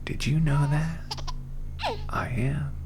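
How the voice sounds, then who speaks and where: A man's voice: fairly high pitch, slow, heavy background noise. A man playing a tender, doting father talking to his daughter in a short radio play. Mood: tender, proud. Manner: tender, playful, animated. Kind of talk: monologue in a micro radio play.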